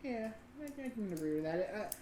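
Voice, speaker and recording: A female podcast co-host speaking at a middling pitch in casual political conversation. The recording is roomy and echoey, with faint noise behind the voice. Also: expressive, moderate pace, dark tone. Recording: roomy echo with background noise.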